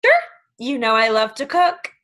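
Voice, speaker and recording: A woman's voice: fairly high pitch, expressive, normal speed, sharp tone. A woman playing a scheming, eager young plotter in a table reading of a stage play. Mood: falsely cheerful.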